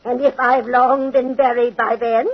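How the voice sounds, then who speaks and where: A woman's voice: fairly high pitch, flat delivery, a little slow. An actress playing a wary, sly old woman who tells fortunes, in old-time radio horror. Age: older. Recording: husky, muffled old radio recording.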